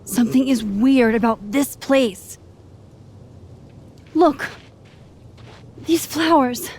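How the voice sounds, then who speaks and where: Earnest young woman, higher-pitched. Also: a little mellow, slightly rough, heavily acted. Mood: urgent, pleading. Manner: halting, earnest, pleading. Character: earnest, anxious young woman with secret timeline-hopping power. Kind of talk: naturalistic video game drama acting.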